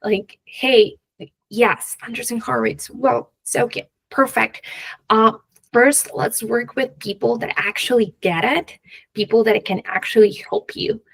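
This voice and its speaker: A woman with a Peruvian accent, talking as a guest expert in a conversational interview, halting and often laughing lightly mid-sentence. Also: fairly high pitch, gravelly, husky, poor audio. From Peru. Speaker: guest expert, venture capital professional.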